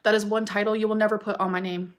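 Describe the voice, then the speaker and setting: A woman's voice: mid-range voice, level tone, quick pace. A woman talking casually to camera in a defensive, blunt and emphatic way, with swearing.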